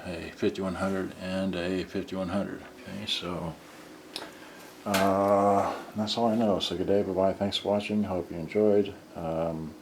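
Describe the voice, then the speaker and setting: Low-voiced man rambling through a roomy demo. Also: a little hiss or hum. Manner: rambling, fragmented narration while handling gear. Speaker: cassette player repairer demonstrating serviced units. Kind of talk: unscripted hands-on product demo. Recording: roomy, distant mic with loud music. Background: music from cassette players.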